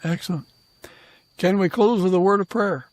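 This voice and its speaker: An older man hosting a conversational interview podcast, speaking in a halting, gently courteous way. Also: high-pitched, dry recording. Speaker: podcast host.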